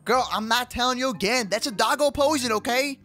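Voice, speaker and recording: A man plays an excitable young adventurer in a game roleplay. The voice is very high for a male, with slangy, stammering, joking delivery. Clear background music plays underneath. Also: fairly quick. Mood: casual, exasperated. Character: excitable, wisecracking young adventurer with a pet companion. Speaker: YouTube roleplay creator. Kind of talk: improvised game roleplay acting.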